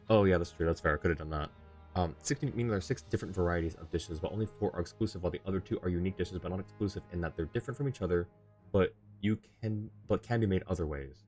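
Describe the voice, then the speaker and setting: A man's voice: deep voice, a little mellow. A man streaming a game live, casually thinking aloud as he reads what is on screen and in chat. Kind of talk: casual live gaming commentary. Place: indoor live stream setup. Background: faint game music.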